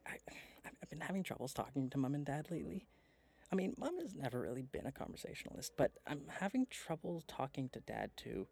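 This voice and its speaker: An actor playing a wry, weary brother in a radio play, talking haltingly and conversationally into a recorder. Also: male voice, mid-range voice, creaky, darkish tone.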